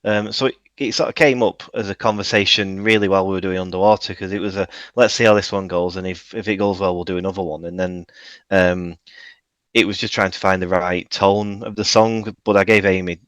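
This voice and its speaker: A man with a very low voice talks about producing tracks in a casual radio interview. He is modest and self-deprecating, and he rambles through fillers and restarts. The recording is dry and close. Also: fairly even intonation, brisk, fairly bright tone, no room sound, lo-fi sound. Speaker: music producer guest.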